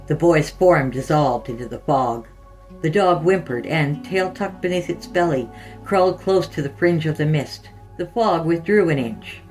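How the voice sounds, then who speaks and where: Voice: mid-range voice, moderate pace, a little mellow, faint music behind, low-quality recording. A narrator and podcast host reading scripted fiction aloud in a storytelling style.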